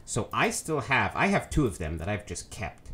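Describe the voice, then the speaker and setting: Deep-voiced man with a nasal cold. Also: huge pitch swings, normal speed, a bit bright. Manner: rambling, many ums and self-corrections. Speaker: let's play host. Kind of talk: casual gameplay commentary.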